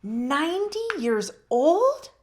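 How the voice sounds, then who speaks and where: Woman voicing an excitable, earnest young girl. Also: sits high, slow pace, voice pushed up, full character voice. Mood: amazed. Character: polite, excitable, romantic-minded young girl cousin.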